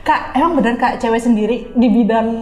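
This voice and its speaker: High-voiced young woman host, echoey room. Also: a little fast, mellow. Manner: informal, inquisitive, frequent acknowledgements. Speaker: podcast host, interviewer. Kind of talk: casual interview.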